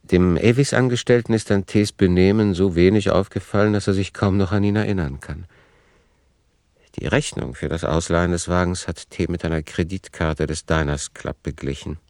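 Very deep, creaky male narrator. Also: darkish tone, dry recording, studio quality. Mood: detached, factual. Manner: measured, matter-of-fact, precise. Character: sober male narrator reporting a writer's disappearance like a file. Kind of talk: scripted radio play narration.

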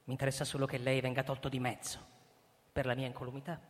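An actor playing a cold, pompous retired army chief, an older man speaking formally and deliberately in a radio drama. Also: mid-range voice, monotone, natural voice. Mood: pompous, firm. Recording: echoey hall, music underneath. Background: music.

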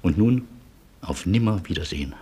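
An actor playing a wry, world-weary refugee in a German radio play, with theatrical irony. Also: male voice, sits low, animated, normal speed, warm tone, husky, exaggerated voice. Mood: wry, resolute. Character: wry, world-weary male refugee, witty and self-sacrificing.

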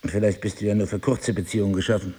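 A wounded, devoted husband in middle age, speaks with a slightly husky voice. He reasons deliberately and articulately, like someone lecturing his wife, with an ironic edge. It is intimate dramatic dialogue from a stage play. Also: on the low side, flat delivery, mellow. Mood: bitter, reproachful. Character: reproachful yet devoted husband, wounded, philosophizing crime writer.

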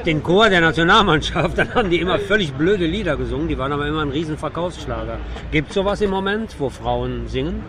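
A man's voice: a little high, fast talker, hardly any pauses, a crowd in the background. A man working as a radio host, asking questions in a casual on-location interview.

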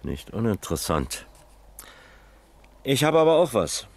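An actor playing a sober, methodical police investigator in a radio crime play, terse and businesslike. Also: male voice, mid-range voice, big pitch swings, measured pace. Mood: reporting.

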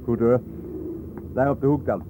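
An actor with a Netherlands Dutch accent playing a grave, courteous magazine reporter in a radio play. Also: male voice, mid-range voice, steady tone, normal speed, phone-quality sound, background noise, close to an everyday voice. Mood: grave, calm. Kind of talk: naturalistic radio drama dialogue.

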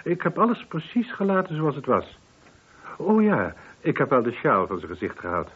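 A man's voice: a little high, wildly swooping pitch, moderate pace, slightly rough, heavily acted. An actor playing a weary, defensive man nearing sixty in a Dutch radio play, explaining himself hesitantly. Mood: uneasy, explaining. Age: late 50s. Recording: muffled, band-limited old radio recording.

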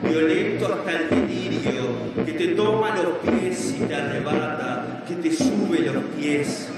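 A man declaims experimental poetry live, dramatically and extremely slowly, with long gaps and repeats. His gravelly voice swims in heavy echo, set back from the mic amid loud music. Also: a little high, huge pitch swings, a loud crowd. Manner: dramatic, repetitive, halting declamation. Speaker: sound poetry performer. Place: live arts festival performance. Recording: very echoey live festival recording, distant, music.

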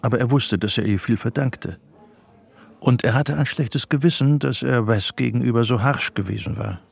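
An actor as the composed, measured narrator of a psychological thriller in a radio play, reading scripted prose soberly. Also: male voice, mid-range voice, creaky, husky.

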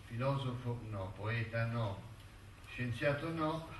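An actor playing a witty, defiant intellectual on trial, speaking with dry, measured irony in theatrical courtroom dialogue. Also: male voice, medium pitch, animated. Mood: dry, ironic. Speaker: stage actor. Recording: roomy stage sound, distant mic, faint noise.

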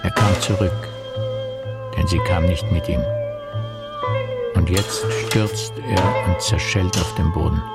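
A man delivering poetic scripted narration for a radio play as a deliberate recitation. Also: low voice, steady tone, creaky, heavily acted. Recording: loud music behind the voice. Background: plucked guitar music.

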